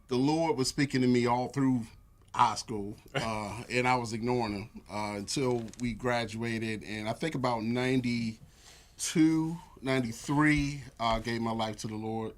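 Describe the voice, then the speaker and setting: An American man in his forties, a church elder, telling relaxed stories with lots of fillers in a casual interview. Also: mid-range voice, measured pace. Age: 40s. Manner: relaxed storytelling with frequent fillers.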